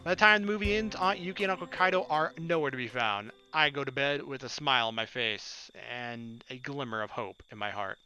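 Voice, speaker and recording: A man's voice: mid-range voice, brisk, hardly any pauses, sharp tone. A young man reading a visual novel aloud as a let's player, with casual, irreverent asides. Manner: playful, irreverent. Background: music.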